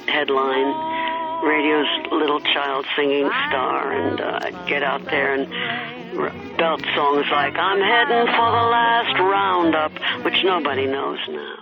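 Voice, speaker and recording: An older woman reminiscing in an interview, halting with frequent pauses. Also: deep voice, creaky. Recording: muffled archive interview with loud music.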